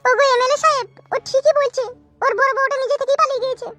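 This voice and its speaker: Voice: very high voice, fairly even intonation, quick pace, bright, forceful tone, no room sound, soft background music. A performer voicing a defiant, quarrelsome young wife in a comic cartoon, whiny and argumentative. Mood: protesting.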